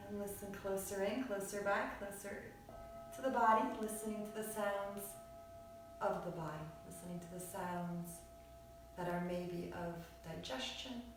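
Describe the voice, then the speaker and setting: A woman leads a guided relaxation in a very reverberant room, heard at some distance from the mic. She speaks soothingly at a medium pitch, in short, unhurried phrases with long pauses. Music plays behind her. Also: steady tone. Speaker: yoga nidra teacher.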